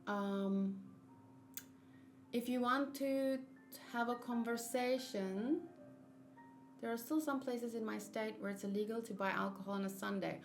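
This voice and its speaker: A woman's voice: on the high side, unhurried, long pauses, faint music behind. A woman with a South African accent chatting casually on a game livestream from home. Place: room at home used for streaming.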